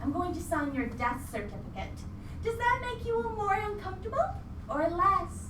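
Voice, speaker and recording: A woman's voice: very high-pitched, big pitch swings, normal speed, background noise. A woman playing a vengeful doll vigilante in a live radio play, sweetly menacing, theatrical and taunting. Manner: theatrical, saccharine, taunting, wordy. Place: live theatre stage performance. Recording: roomy live stage, distant mic, music.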